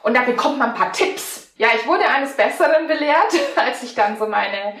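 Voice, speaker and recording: A woman in her forties from Franconia with a Franconian accent, a mental coach chatting in an interview, laughing often and filling pauses. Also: a little high, sing-song, a bit bright, reverberant. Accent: Franconian German. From Franconia, Germany. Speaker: mental coach and riding technique trainer. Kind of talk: casual interview answers.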